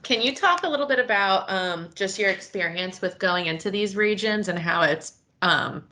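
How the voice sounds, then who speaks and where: A woman's voice: medium pitch, animated, normal speed, bright, forceful tone. A woman co-hosting a casual interview, asking long, rambling questions in a supportive way. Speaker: podcast co-host.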